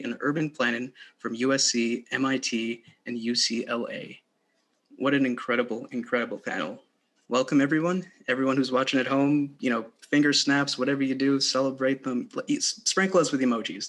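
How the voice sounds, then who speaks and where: An enthusiastic man hosting a panel discussion over a video call. He speaks at a moderate, ordinary pitch and welcomes his guests with warm energy. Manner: upbeat, enthusiastic, encouraging.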